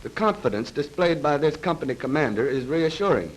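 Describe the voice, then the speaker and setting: A man reads scripted narration for a 1930s military training film in a formal, measured, precise manner, on a vintage film soundtrack. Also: fairly high pitch, big pitch swings, slight background noise, rough recording. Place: voice-over recorded for a film.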